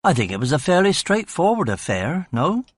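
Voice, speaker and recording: A man's voice: a little high, very animated, slightly rough, no room sound, voice pushed up. A man doing a chatty young constable. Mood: breezy. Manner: brisk, breezy and talkative. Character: young male English police constable, eager and chatty.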